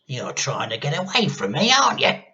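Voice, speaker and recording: A man voicing a nasty, gleefully menacing old grandmother in a storybook, with drawn-out, gloating delivery. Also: fairly high pitch, huge pitch swings, sharp tone, dull audio, voice pushed up, heavily acted. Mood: sinister coaxing. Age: older. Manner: drawn-out, gloating, sometimes throaty whispering.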